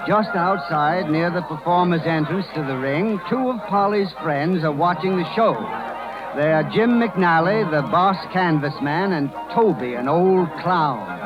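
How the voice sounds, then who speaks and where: Guy announcer setting the scene, high voice, muffled 1930s radio, loud music behind. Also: measured pace. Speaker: announcer, narrator. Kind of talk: scripted 1930s radio drama narration.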